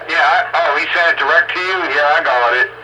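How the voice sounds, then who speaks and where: A man's voice: fairly high pitch, a little fast, sharp tone. A man chatting casually as an amateur radio operator over the air. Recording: noisy two-way radio transmission.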